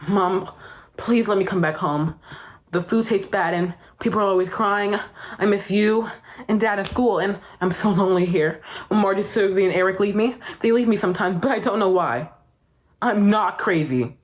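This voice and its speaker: A woman's voice: mid-range voice, moderate pace, close to an everyday voice. A woman playing a childlike young patient who stammers and pleads with her mother.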